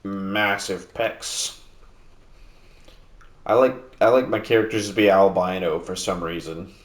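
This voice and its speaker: Low-voiced man chatting far from the mic. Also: a little slow, reverberant. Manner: rambling, relaxed, many fillers and restarts; talking louder than normal to make up for mic distance. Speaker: gameplay video host. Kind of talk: casual gaming commentary. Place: in a house. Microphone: sitting at a distance from the mic.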